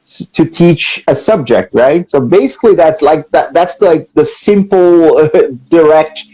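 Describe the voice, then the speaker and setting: Mellow-voiced Brazilian man explaining. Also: on the high side, animated, measured pace, slightly rough, poor audio. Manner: explanatory, frequent "you know" and "right?". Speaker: co-host, teacher. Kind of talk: casual live-stream explanation.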